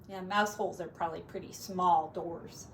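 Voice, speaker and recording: A woman teacher reading a picture book to young children expressively, with gentle, simple asides, recorded on a device set back from her. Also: fairly low pitch, fairly even intonation, normal speed. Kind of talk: picture-book read-aloud with chatty asides. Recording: distant mic with faint background noise.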